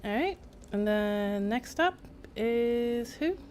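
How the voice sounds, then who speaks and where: Slow-paced woman streaming a game. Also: on the high side, animated, a little mellow, a little hiss or hum. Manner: thinks aloud in short fragments. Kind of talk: casual live game commentary. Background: faint game music.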